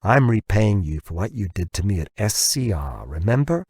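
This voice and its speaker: Male narrator as bitter American man, ranting, taunting, lowish husky voice, audiobook dialogue. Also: very animated, normal speed, a little mellow, dry recording, clean studio sound, full character voice. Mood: triumphant, bitter. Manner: sweary, taunting, tumbling over his words.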